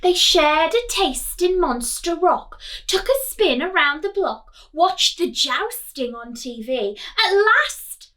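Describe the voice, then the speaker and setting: Storytime teacher, female: high, very lively voice, expressive sing-song rhymes, coaxing questions for little ones. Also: slow pace, hardly any pauses. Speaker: teacher reading a children's storybook aloud. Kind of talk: animated storytime reading with questions to young listeners.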